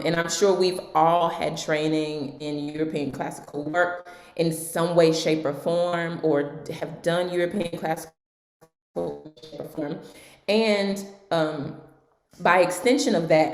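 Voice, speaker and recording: Low-voiced, earnest moderator in an echoey room. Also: female voice, darkish tone. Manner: earnest, emphatic, measured. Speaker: moderator, actress. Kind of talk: roundtable moderation. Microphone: video call. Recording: echoey room on a video call.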